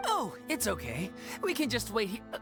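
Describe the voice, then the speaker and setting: A woman's voice: a little high, wildly swooping pitch, moderate pace, music in the background. A woman playing an easygoing, slightly oblivious theater troupe member in a video game, casual and laid-back. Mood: upbeat, coaxing.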